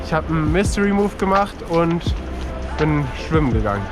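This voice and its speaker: A man's voice: fairly high pitch, big pitch swings, fairly quick. A young man from northern Germany, a kayaker, chatting casually outdoors for a trip video. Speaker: kayaker on an expedition. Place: outdoors by rivers. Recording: loud music over outdoor camera audio.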